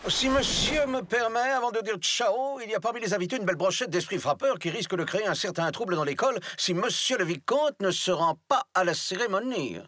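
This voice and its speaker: High-pitched pompous cartoon manservant. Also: male voice, animated, brisk, hardly any pauses, fairly bright tone, no echo. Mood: insistent, deferential. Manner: pompous, formally deferential. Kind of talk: French animated series dubbing.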